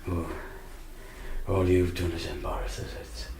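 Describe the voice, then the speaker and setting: An actor playing a dying, bitter father in a radio drama, halting and weary, with a weak, breathy voice. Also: deep voice, fairly even intonation. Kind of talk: naturalistic radio drama acting. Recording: roomy, distant, with clear background noise.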